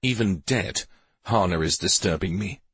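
A man's voice: low-pitched, moderate pace, a bit bright, slightly rough, no echo, heavily acted. A man voicing a dutiful, weary young male war master in a fantasy audiobook, with an earnest and sometimes sour manner. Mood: bitter.

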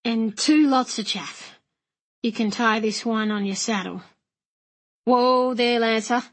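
A woman voicing a rough, cheery young coachman in an audiobook, blunt, colloquial and fatherly. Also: on the high side, takes it slowly. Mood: brisk, teasing.

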